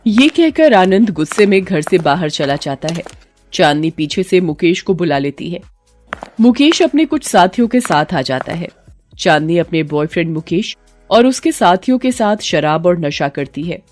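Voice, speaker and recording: A woman's voice: fairly low pitch, big pitch swings, moderate pace, darkish tone. A woman reading a scripted moral bedtime story as narrator, with music in the background.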